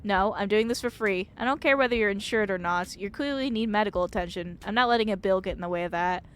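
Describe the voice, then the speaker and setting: Stoic older woman doctor for a visual novel, read by a woman streamer. Dry, skeptical, clinical, medium pitch. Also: quick pace, clean tone, natural voice. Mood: dry, matter-of-fact.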